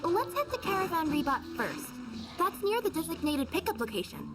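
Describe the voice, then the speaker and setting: A woman's voice: extremely high pitch, very animated, normal speed, music in the background, poor audio. A woman voicing a cute, conscientious young female cat spirit courier in an anime game. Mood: earnest.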